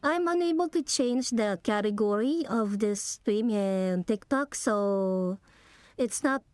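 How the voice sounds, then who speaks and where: A woman's voice: medium pitch, slow pace, darkish tone, dry recording. A woman streamer giving casual live commentary on a video game she is playing. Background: game music.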